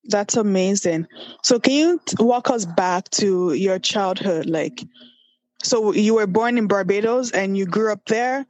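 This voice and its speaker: A woman hosts a podcast interview with a friendly manner. Her mid-pitched voice is recorded dry and close, with no room sound. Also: a little slow, darkish tone, no echo, rough recording. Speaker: podcast host.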